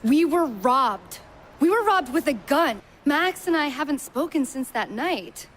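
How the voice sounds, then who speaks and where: A woman's voice: sits high, very animated, unhurried, fairly bright tone, slight background noise, heavily acted. Becky, a shaken young woman.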